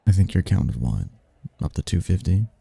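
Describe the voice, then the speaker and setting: A man playing a smooth-talking demon prince in an audio roleplay, teasing and smug, with a low, husky, gravelly delivery. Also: big pitch swings, brisk, warm tone, no room sound, exaggerated voice. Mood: teasing, amused. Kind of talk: audio roleplay, ASMR-style voice acting.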